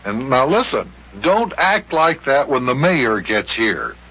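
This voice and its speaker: A man's voice: mid-range voice, slow, breathy edge, heavily acted. An actor playing a hearty, patient, folksy grown man who also narrates an old-time children's radio serial. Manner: animated, folksy, storytelling. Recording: muffled old radio broadcast recording.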